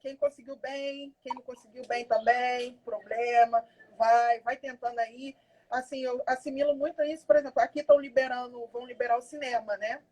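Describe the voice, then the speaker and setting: A woman's voice: high voice, normal speed, a little mellow, rough recording. A woman, a community activist speaking with passion and emphasis. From Brazil. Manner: impassioned, emphatic. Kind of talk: conversational interview.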